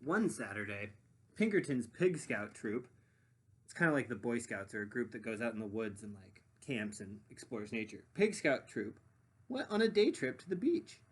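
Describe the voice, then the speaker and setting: A man narrating a children's story expressively as a read-aloud teacher, pausing to muse and put questions to listeners. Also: mid-range voice, breathy edge. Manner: animated, pausing to muse and prompt.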